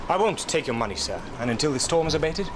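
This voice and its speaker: An actor playing a stern, formal Royal Navy captain in a period drama, with a British accent and clipped, authoritative speech. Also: male voice, a little high, very animated, fast, very noisy. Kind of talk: period television drama acting. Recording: film soundtrack with music underneath.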